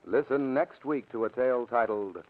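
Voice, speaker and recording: A man's voice: mid-range voice, moderate pace, music in the background. A male announcer reads scripted credits in a formal, polished 1940s radio manner. His mid-range voice comes through a tinny, very narrow old broadcast recording.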